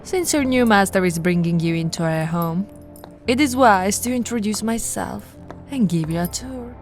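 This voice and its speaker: A woman playing a haughty, possessive immortal vampire aristocrat in an ASMR roleplay, imperious and condescending, theatrical, often dropping into a breathy whisper. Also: mid-range voice, lively intonation, moderate pace, faint music behind, lightly acted. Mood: imperious, proud.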